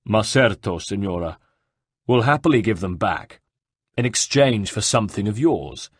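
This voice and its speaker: A man's voice: on the low side, lively intonation, moderate pace, breathy edge, no room sound, studio quality. A man voicing a cool, ironic Italian nobleman villain who bargains with menace in an audiobook. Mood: ironic politeness. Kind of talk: audiobook character dialogue.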